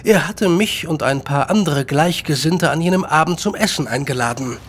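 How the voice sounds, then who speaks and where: An actor playing an eager, talkative Victorian gentleman writer in a radio drama, speaking in formal, wordy sentences. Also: a little high, normal speed, breathy edge. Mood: grave. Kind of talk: German radio drama acting.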